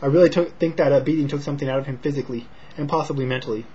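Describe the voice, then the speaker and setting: An American man giving a casual, low-key and hesitant fight preview, not loud and slightly short of breath. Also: fairly even intonation, rapid-fire, husky. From Sacramento, California, United States. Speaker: amateur boxing commentator and vlogger. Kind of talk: casual talk to camera, fight preview and prediction. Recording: dull, lo-fi home recording.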